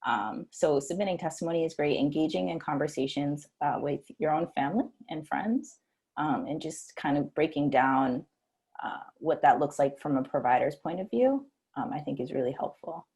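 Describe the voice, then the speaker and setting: Husky, very low-voiced woman panelist. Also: animated, a little mellow. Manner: conversational, halting, with light laughs. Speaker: policy lead, panelist. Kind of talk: panel discussion. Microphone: video call.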